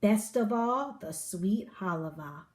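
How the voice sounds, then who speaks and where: A woman reading a children's picture book aloud, with an expressive storyteller delivery. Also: mid-range voice, slow pace.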